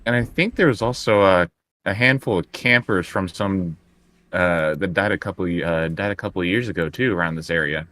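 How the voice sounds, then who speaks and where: Male tabletop player, deep and sharp voice, informal conversational delivery. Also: moderate pace, no room sound. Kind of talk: casual game chat.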